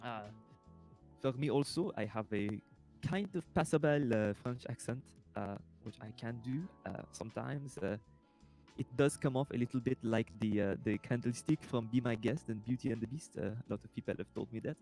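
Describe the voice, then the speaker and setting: A man's voice: medium pitch, normal speed, dark tone, soft background music. Rambling man chatting on a livestream. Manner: rambling, with many ums and self-corrections. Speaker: streamer and voice actor. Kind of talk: casual livestream chat.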